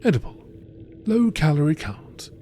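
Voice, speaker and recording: A man reading scripted nature documentary style narration in a measured, informative way. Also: on the high side, big pitch swings, dark tone, a little hiss or hum. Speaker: narrator reading game text.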